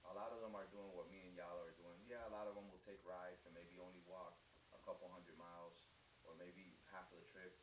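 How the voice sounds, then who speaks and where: A deep-voiced man from Costa Rica talks in an earnest, rambling way, often restarting his sentences. He sounds set back from the microphone in a reverberant space, with a clear hiss underneath. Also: level tone, a little fast. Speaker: interviewee, long-distance walker. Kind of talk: casual interview talk. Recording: echoey, distant mic with hiss.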